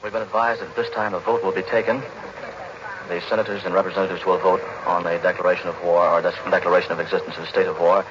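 A man's voice: deep voice, level tone, fast talker. A man with an American accent announcing live on 1940s radio news. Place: live at the House chamber. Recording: noisy muffled 1940s radio recording.

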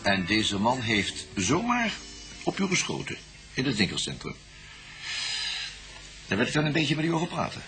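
An actor playing a patient, coaxing male police investigator in a radio drama, repeating himself and prompting gently. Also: mid-range voice, huge pitch swings, normal speed, pauses often, music in the background. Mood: patient probing.

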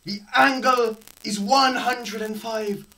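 A young man performing a theatrical scripted lecture, melodramatic, swinging between hushed murmurs and loud cries. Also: very high-pitched, fairly even intonation, slow, poor audio. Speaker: presenter performing a dramatised physics lecture. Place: small plain room indoors.

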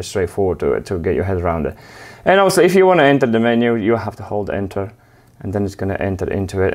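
Man with a lowish voice, halting tutorial. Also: a little mellow. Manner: halting, many fillers and restarts, plain explanatory tone. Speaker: technician demonstrating a drive. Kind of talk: hands-on tutorial. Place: workshop with wooden wall panel, indoors.

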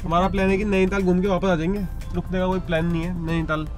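A young man vlogging as he drives his family along a hill road, chatting casually and excitedly and mixing in English phrases. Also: high-pitched, fast, music in the background. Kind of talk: casual running commentary while driving. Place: inside a car on a hill road.

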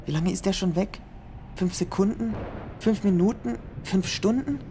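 A woman's voice: medium pitch, normal speed, noisy background, lightly acted. A woman voicing a nervous young male student in an amateur audio drama, fretting and talking to herself. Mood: anxious. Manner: anxious, fretful, talks to herself. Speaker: voice actor in a fan audio drama. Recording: music playing behind voice.

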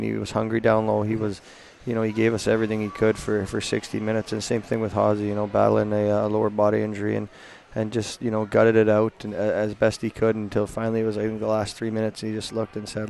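A man in his thirties, a hockey coach giving a halting postgame interview at an indoor rink on a headset mic. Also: lowish voice, a little hiss or hum. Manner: flat, gravelly, halting with many restarts.

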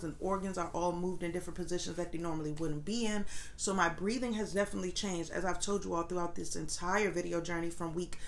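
A young woman talks openly to the camera from her sofa at home, her slightly low voice stuffed-up and nasal. She rambles loosely, full of ums and likes, with a faint hum of room ambience behind her. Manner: candid, rambling, many fillers. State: congested, nasal voice. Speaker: vlogger. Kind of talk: casual pregnancy update.

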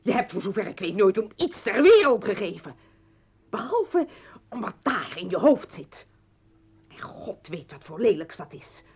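A woman's voice: mid-range voice, sing-song, normal speed, darkish tone, slightly rough, heavily acted. An actress playing an anguished, accusing mother confronting her former husband in a radio play, bitter and pleading. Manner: bitter, pleading, breaking into whispers. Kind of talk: Dutch radio play acting. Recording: dull old radio recording.

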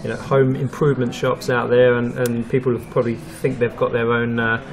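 A man hosting a radio property show, chatting casually with guests and often pausing on fillers and restarts. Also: medium pitch, little pitch movement, crowd noise. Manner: hesitant, many fillers and restarts.

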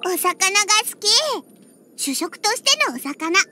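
A woman's voice: very high-pitched, expressive, moderate pace. A woman voicing a feisty little catgirl in an anime game, with a childlike, cutesy voice and a huffy, pouty manner. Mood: grumbling. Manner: pouty, huffy, with cat-like verbal tics. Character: feisty little catgirl bartender who hates alcohol. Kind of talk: Japanese anime game character acting. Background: background music.

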